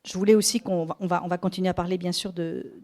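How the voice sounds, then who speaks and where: A woman's voice: on the low side, fairly even intonation, quick pace, a little mellow. A woman interviewing an author in a bookshop, agreeing often and rephrasing her questions as she goes.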